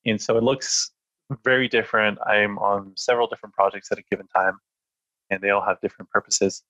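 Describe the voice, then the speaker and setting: A man's voice: fairly low pitch, fairly even intonation, fairly quick, sharp tone, no room sound, low-quality recording. A man from France and Spain, a podcast guest, answering thoughtfully with many fillers and restarts. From born in France, raised in Spain. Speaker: podcast guest, data analyst. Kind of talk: casual interview talk.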